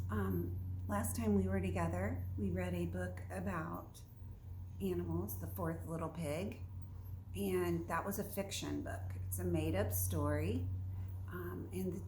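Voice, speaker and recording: A woman's voice: mid-range voice, dark tone. Woman teacher reading to children, roomy sound. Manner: simple child-directed explaining, frequent check-in questions. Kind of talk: read-aloud lesson for young children. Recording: roomy echo, faint background noise.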